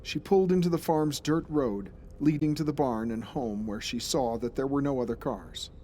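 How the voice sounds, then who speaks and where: An actor playing a grave storyteller, narrating a friend's dark case in a scripted audio drama. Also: male voice, medium pitch, normal speed, a little hiss or hum, lightly acted. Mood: grave, ominous.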